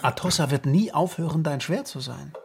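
A man acting a scheming, coolly persuasive confidant of a prince in a radio drama, measured and insistent. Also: a little high, animated, creaky, a little mellow, a little hiss or hum.

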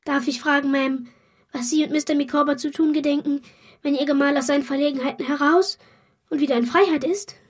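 A woman's voice: sits high, fairly even intonation, brisk, close to an everyday voice. A woman playing a polite, earnest young orphan boy in a radio drama, speaking in a formal, well-mannered way. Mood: politely curious.

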